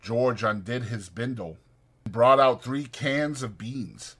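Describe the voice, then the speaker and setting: A man's voice: medium pitch, slow pace, fairly bright tone, slightly rough. A man reading literary fiction as a dramatized audiobook narrator.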